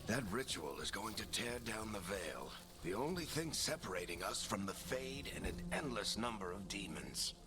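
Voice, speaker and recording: An actor voicing a seasoned dwarf rogue in a video game, a wry and commanding leader with an urgent edge. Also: male voice, lowish voice, expressive, normal speed, hardly any pauses, lightly acted. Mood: grave, wry. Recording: loud game music behind the voice. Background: loud game soundtrack.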